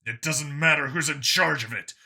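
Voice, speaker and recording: Man acting a blunt, forceful cop. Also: fairly high pitch, normal speed, gravelly, slightly rough, heavily acted. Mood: insistent. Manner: blunt, insistent. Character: blunt human policeman among aliens, forceful and sardonic.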